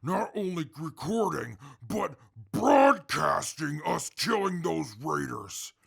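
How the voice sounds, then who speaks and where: Casting: male actor as domineering wasteland prisoner, heavily creaky and raspy, halting, blunt and irritable, for audio drama. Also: on the high side, big pitch swings, fairly bright tone, exaggerated voice. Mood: angry. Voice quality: very gravelly, raspy. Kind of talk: post-apocalyptic audio drama acting.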